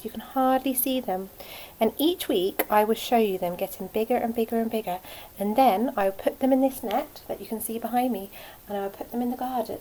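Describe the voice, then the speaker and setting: A woman speaking as a nursery teacher, telling a story to young children in a simple, expressive way. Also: medium pitch, slight background noise, rough recording.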